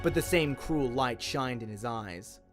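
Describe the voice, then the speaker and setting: Expressive Australian man narrating, lively pitch. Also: measured pace, over music. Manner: expressive storytelling. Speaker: audiobook narrator. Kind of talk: dramatic scripted narration, radio-play audiobook.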